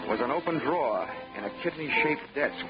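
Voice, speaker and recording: A man's voice: on the high side, lively intonation, brisk. A man narrating as a hard-boiled insurance investigator in 1940s radio drama, wisecracking in a dry, clipped manner. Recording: dull old radio recording with music.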